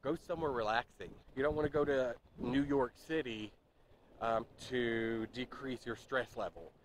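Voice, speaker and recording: A man's voice: medium pitch, normal speed, low-quality recording. A man in his thirties giving casual, rambling advice while walking on a park path, filming himself at arm's length. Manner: relaxed, rambling, with fillers and restarts. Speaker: vlogger. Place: outdoors on a park greenway, walking. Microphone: handheld camera at arm's length. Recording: outdoor camera audio with clear ambient noise.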